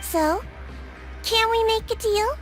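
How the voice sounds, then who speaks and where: A woman voicing a small, kindly non-human head nurse in a video game, high, sweet and gentle. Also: slow pace, music in the background. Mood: bargaining. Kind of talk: video game cutscene dialogue.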